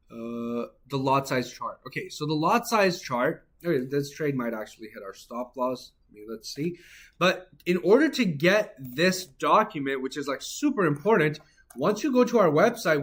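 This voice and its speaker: A man hosting an informal trading livestream, hyping with catchphrases and answering viewer comments as he goes. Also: fairly high pitch. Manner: hype-driven, informal, repeats catchphrases. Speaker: livestream host, trader. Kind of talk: live-stream sales pitch and chat replies. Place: office.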